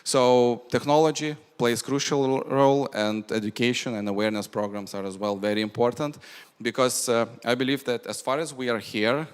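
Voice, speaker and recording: A man giving a measured, explanatory conference talk and answering audience questions. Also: fairly low pitch, clean tone. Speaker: conference speaker on bank fraud prevention. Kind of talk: presentation and audience Q&A. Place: indoor event hall with long reverb. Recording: reverberant hall sound.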